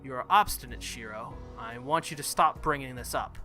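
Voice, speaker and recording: A duty-bound young warrior queen read by a man, formal and measured. The voice is bright, with music behind the visual novel dialogue. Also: mid-range voice, natural voice. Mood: firm, grave.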